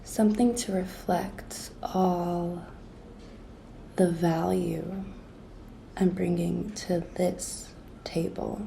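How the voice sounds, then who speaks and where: A woman acts out a coy, pushy young female intern in an ASMR roleplay. She whispers softly and slowly, with a creaky edge, coaxing and teasing her boss. Her tone is very mellow and intimate. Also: fairly low pitch, fairly even intonation, slight background noise, full character voice, rough recording. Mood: tentative, persuasive. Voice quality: breathy whisper, soft-spoken.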